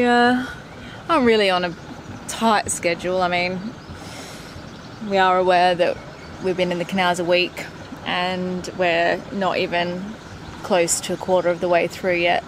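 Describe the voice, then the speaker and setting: A woman in her thirties chatting candidly to the camera on a boat deck, explaining things as she works. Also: on the low side. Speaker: sailing vlogger. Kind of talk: casual vlog talk to camera. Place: outdoors on a boat deck on a canal. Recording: outdoor recording with loud engine and wind noise. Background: loud boat engine and wind noise.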